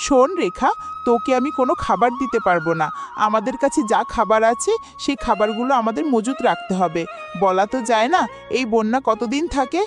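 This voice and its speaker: Cartoon tigress aunt, female actor: hard-hearted, dismissive, animated intonation, over background music. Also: fairly high pitch, brisk, natural voice. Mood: stern refusal. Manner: curt, dismissive. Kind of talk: cartoon voice acting.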